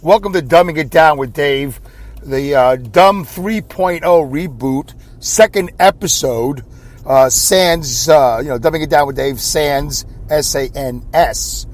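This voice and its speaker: A man hosting his own podcast with a scratchy voice, rambling in a self-deprecating way and clearing his throat often. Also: fairly high pitch, measured pace. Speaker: podcast host. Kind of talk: solo rambling podcast monologue. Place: inside a car.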